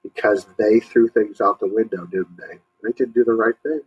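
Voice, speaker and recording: A man reading a story aloud to young listeners, pausing to explain and ask questions. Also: on the low side, fairly even intonation, slightly rough, highs cut off. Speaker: storybook reader, teacher.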